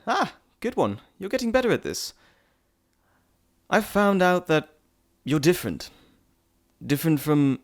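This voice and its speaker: Playful immortal messenger god played by a man, mid-range voice, fond and teasing, intimate roleplay delivery with theatrical asides. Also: mid-range voice. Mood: fond, musing. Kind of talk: intimate audio roleplay to listener.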